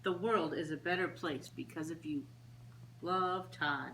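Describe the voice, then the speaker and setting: A woman's voice: low-pitched, bright, forceful tone. Woman reading picture books in an echoey room. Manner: simple, child-directed talk with deliberate, repeated phrasing. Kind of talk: animated read-aloud story time for young children. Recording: roomy echo, faint background noise.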